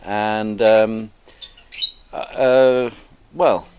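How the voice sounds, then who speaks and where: A man's voice: fairly low pitch, steady tone, extremely slow, background noise. A man hosting a casual English lesson as the teacher, prompting with questions and clues.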